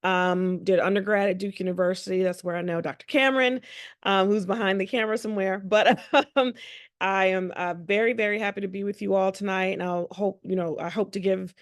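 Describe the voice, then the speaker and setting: A middle-aged American woman introducing herself casually as a panelist, modest and halting. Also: mid-range voice, no room sound, studio quality. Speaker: panelist, family physician.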